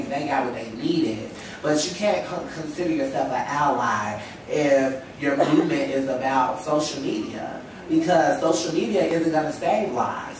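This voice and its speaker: A woman's voice: low voice, darkish tone, slight background noise. Brief: young woman, stuffy head cold, impassioned panel speaker, heard distantly in a very echoey hall. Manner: emphatic, rhetorical, lots of "you know". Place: lecture hall stage at a table. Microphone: table stand mic. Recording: echoey hall, mic from far off.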